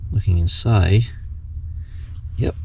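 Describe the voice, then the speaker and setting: Low-voiced man narrating a hands-on job. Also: slow, gravelly, a little mellow, slight background noise. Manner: thinks aloud while working. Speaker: host of a DIY vehicle radio install video. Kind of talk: casual hands-on tutorial narration.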